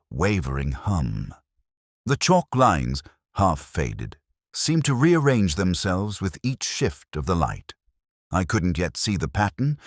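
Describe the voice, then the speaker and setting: Really low voiced guy reading a detective story, brooding and measured, pitch moves around a lot. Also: no room sound, studio quality. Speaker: audio story narrator. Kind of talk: first-person detective story narration.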